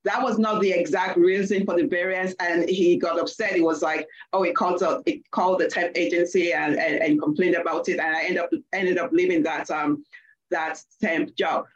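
A woman's voice: lowish voice, fairly even intonation, normal speed. Nigerian-accented woman on a muffled line. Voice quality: repeats words as she talks. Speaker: panelist, accounting leader. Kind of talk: panel discussion, personal story.

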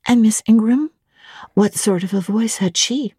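A woman's voice: on the high side, unhurried, warm tone, husky, dry recording, studio quality, pitched above the performer's own voice. A woman voicing an earnest young governess in a classic audiobook, politely inquisitive and eager with her questions. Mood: curious. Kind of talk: dramatic audiobook reading of classic literature.

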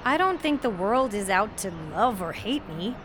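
An actress playing a sheltered young college student in an audio drama, wry, guarded and self-deprecating, in a naturalistic style. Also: female voice, mid-range voice, lively intonation, normal speed, fairly bright tone, slight background noise, close to an everyday voice. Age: young adult.

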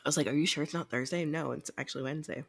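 A woman's voice: low-pitched, rapid-fire, vocal fry, husky. A woman co-hosting a book podcast, talking casually in blunt, slangy language. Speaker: co-host of a book recap podcast.

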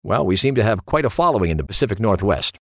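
An actor playing a calm, attentive male late-night radio host, measured and courteous as he probes his callers. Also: on the low side, no room sound, studio quality, heavily acted. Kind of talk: radio call-in acting in audio drama.

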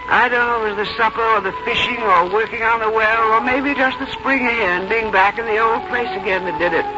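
A woman's voice: medium pitch, close to an everyday voice. An actress playing a plain-spoken, strong-willed mother in her seventies in a 1950s radio drama, with a folksy, firm way of talking. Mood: fond reminiscing. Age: 70s. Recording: dull, noisy old radio broadcast recording.

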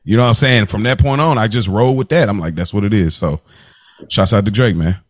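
A man's voice: on the low side, a little fast, no room sound, clean studio sound. A man in his thirties hosting a casual podcast, talking in slangy, conversational phrases that he often repeats for emphasis. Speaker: podcast host.

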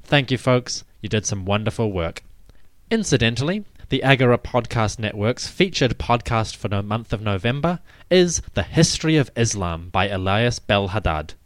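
A man hosting a podcast, reading scripted narration with articulate, deliberate phrasing. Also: lowish voice, expressive, dry recording. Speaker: podcast host.

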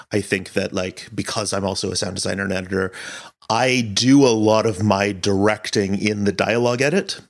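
Thoughtful, halting man with a lowish voice. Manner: thoughtful, halting, searching for words. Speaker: director of audio fiction, interviewee. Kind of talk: casual interview talk.

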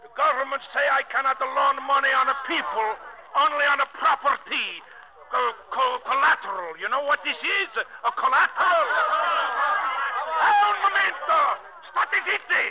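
A man's voice: extremely high pitch, moderate pace, crowd noise. A man playing a domineering old Italian immigrant father and banker in a 1950s radio drama, in emphatic broken English with an Italian accent. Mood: furious, shouting. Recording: tinny old radio broadcast recording.

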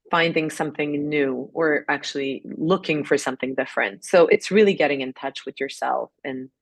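A woman's voice: a bass voice, creaky, breathy edge, dry recording. A woman in her forties from Serbia, a life coach answering interview questions in an open, personal way. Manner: open and personal, with fillers and restarts.

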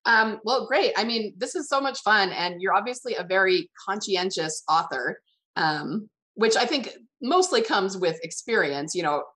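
A woman's voice: medium pitch, animated, moderate pace, a bit bright. A woman hosting a casual interview in a chatty, affirming, conversational way. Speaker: interview host, author.

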